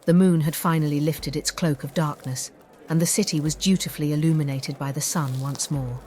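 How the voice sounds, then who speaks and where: A woman narrating a scripted dark fantasy story in a dramatic, deliberate audiobook style. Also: low voice, fairly even intonation. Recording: music bed behind the voice. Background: background music.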